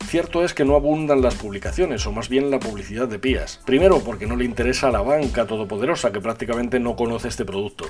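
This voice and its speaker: A man from Spain, hosting a podcast and reading a measured, scripted explanation of savings products. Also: mid-range voice, darkish tone, faint music behind. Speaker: podcast host.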